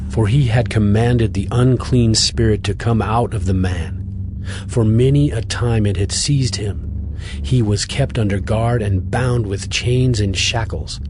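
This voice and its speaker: A man narrating a dramatized scripture reading in a steady, even storytelling voice. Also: lowish voice, a little slow, background noise. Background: music.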